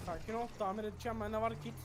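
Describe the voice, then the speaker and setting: A man's voice: high voice, fairly even intonation, very fast, slight background noise. A man chatting casually in an online roleplay game, with jokey, slangy banter. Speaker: gaming video streamer.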